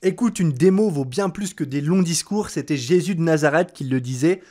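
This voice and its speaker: Young man, casual tutorial voice-over, joking, chatty, fairly high pitch. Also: normal speed, a little mellow. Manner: chatty, joking, addresses viewer as tu. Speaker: tutorial host. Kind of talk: casual screen-recorded tutorial.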